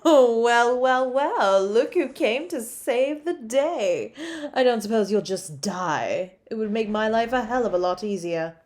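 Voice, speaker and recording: Woman acting a taunting theatrical supervillain, high-pitched. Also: lively intonation, unhurried, a little mellow. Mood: bored mockery. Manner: drawling, mocking, theatrical. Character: taunting, bored, theatrical supervillain with menacing playfulness. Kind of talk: superhero audio roleplay acting.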